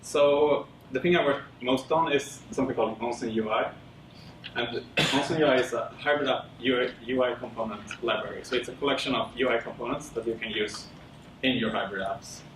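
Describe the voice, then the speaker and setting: A man from Sweden presenting casually at a tech meetup, with many fillers and a relaxed, rambling delivery. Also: medium pitch, moderate pace. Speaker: conference speaker. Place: lecture room. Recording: roomy, distant with audible background noise.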